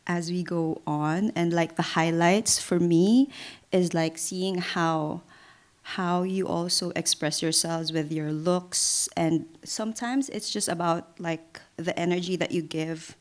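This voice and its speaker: A woman with a fairly low voice talks slowly as a guest on a live podcast panel. She sounds enthusiastic as she takes her time over each thought. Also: steady tone. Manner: enthusiastic, unhurried.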